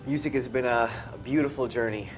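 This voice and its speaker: A man chats as a musician guest in an interview. His delivery is relaxed and conversational, at an average pitch. Faint music runs underneath his voice. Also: brisk, rough recording. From United States, after early childhood abroad. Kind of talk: casual chat.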